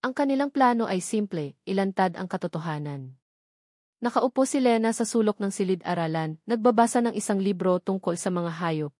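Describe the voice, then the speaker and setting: A woman's voice: mid-range voice, lively intonation, normal speed, warm tone, dry recording. Woman narrating a story, very dry sound. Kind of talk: scripted dramatic story narration, reading dialogue herself.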